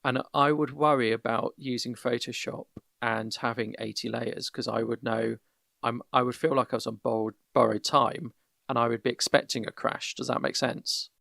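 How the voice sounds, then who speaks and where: Gravelly-voiced man hosting a podcast. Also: mid-range voice, fairly even intonation, a bit bright, no echo, studio quality. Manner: measured, many restarts, gives constructive feedback. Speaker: podcast host. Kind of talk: casual conversational critique. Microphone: voip call.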